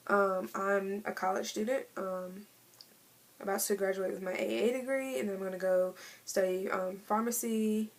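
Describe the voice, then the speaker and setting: A woman of twenty in a casual vlog, chatting in a relaxed way with many fillers as she answers tag questions. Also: mid-range voice, normal speed. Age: 20s. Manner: chatty, laid-back, lots of fillers. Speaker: vlogger. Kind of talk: casual tag video chat.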